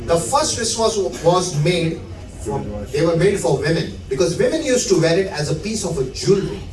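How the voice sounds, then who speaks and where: A man's voice: fairly high pitch, animated, normal speed. A middle-aged man from India with an Indian English accent, giving a chatty talk to a live audience and asking them questions. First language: not English. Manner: chatty, asks the crowd questions. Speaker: watch specialist presenter. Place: room at a live event. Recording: echoey room, speaker far from mic.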